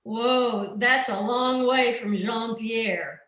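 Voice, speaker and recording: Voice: medium pitch, drawn-out words, fairly bright tone, far from the mic. A woman voicing a blunt, wisecracking old widow. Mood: brassy. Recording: echoey room, off-mic.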